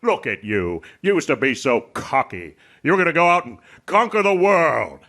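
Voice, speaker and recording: An actor playing a cruel, gloating old rich banker in a radio play, with a sneering, declamatory delivery. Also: male voice, on the high side, very animated, normal speed, a bit bright. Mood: gloating, scornful. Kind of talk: radio theater acting.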